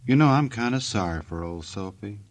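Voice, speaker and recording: An American man announcing a radio show in a folksy, joking 1940s style with a husky voice. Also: lowish voice, animated, normal speed, loud music behind the voice.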